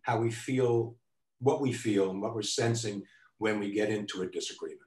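Older male panelist, lowish voice, reflective, heard away from the mic on a video call. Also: fairly even intonation, normal speed, a little mellow. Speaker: discussion panelist. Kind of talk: reflective group discussion. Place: home room, indoors. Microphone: computer on video call. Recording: roomy, distant video call audio.

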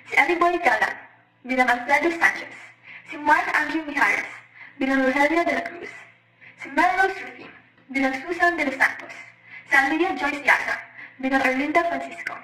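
A woman's voice: high voice, sharp tone. A young woman reading a scripted announcement as the announcer of a radio drama. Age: young adult. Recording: echoey room sound.

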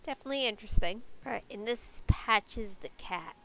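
A woman's voice: on the high side, brisk, bright, forceful tone, low-quality recording. A young woman with a congested, stuffy voice, chatting casually through a game guide with lots of fillers. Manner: chatty, many fillers, upbeat. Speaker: game video creator. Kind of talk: casual gameplay guide narration.

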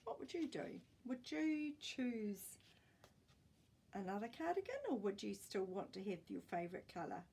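An older woman reading a picture book aloud expressively, pausing to put questions to her listeners. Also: medium pitch, very animated, gravelly, darkish tone, slightly rough. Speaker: children's storytime reader. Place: small indoor room with bookshelves.

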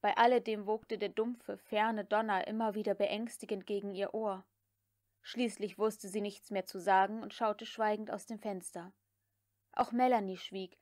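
A woman's voice: mid-range voice, fairly even intonation, unhurried, a little mellow, no echo, clean studio sound. A woman reading a novel aloud as scripted audiobook narration.

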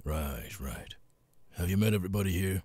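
A man voicing a gruff, world-weary cat demon bartender in an ASMR audio roleplay, raspy and laconic, with casual swearing and long pauses. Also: warm tone, no room sound. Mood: weary, matter-of-fact. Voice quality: raspy, very deep gravelly voice. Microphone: close, dry studio mic.

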